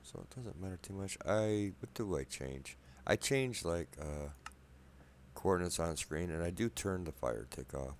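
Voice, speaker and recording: A man quietly rambling through casual gameplay commentary in his apartment at night, a bit wheezy from a cold, soft-spoken and self-deprecating. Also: low-pitched, animated, unhurried, a little hiss or hum. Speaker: gameplay video maker. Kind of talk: casual let's play commentary.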